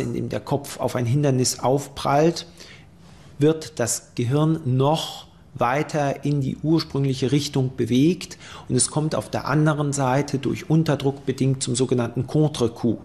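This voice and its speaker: A man with a gravelly, creaky voice at a medium pitch explains technical matters as an interviewed forensic expert. His phrasing is halting and unscripted. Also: a little mellow.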